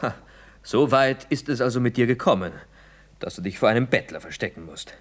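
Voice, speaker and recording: An actor playing a sly, mocking, exuberant schemer in a German radio play, teasing and theatrical. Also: male voice, mid-range voice, lively intonation, fairly quick, lightly acted.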